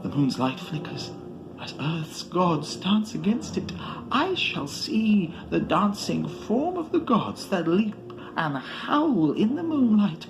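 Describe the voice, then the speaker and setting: A man voicing a proud, wild mystic in a grandiose, shrill horror-story declamation, shrieking out incantations. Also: very animated, takes it slowly, vocal fry, noisy background, heavily acted. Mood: exultant. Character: proud shrieking mystic climber, exultant turning to terrified. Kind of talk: theatrical horror storybook reading. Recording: music behind the voice.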